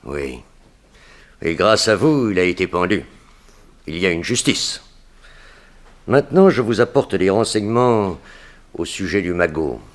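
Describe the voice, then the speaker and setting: An actor playing a vengeful, scheming gangster in a 1960s French radio crime drama, speaking in a deliberate, insinuating way. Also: male voice, low voice, animated. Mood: guarded, conspiratorial.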